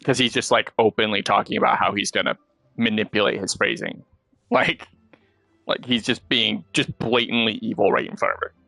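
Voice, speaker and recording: A man's voice: on the low side, normal speed, gravelly, sharp tone, no echo, faint music behind. A man casually commenting as he streams a game, sometimes reading the dialogue aloud.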